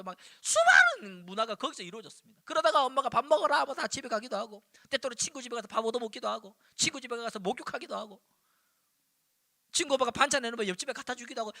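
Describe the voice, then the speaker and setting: A youth pastor in his forties, a man from Daegu, preaches with animated storytelling and punchy repetition, in Seoul Korean with slight Daegu traces. His voice sits very high and sounds bright and forceful, and it swings widely as he talks at a fast clip. The recording is close and dry. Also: no room sound. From Daegu, South Korea. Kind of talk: sermon to high schoolers. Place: church.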